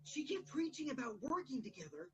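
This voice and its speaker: A woman's voice: high voice, sing-song, a little fast, darkish tone. A woman playing a skeptical young student in an English-dubbed mystery game, through slightly dull game audio.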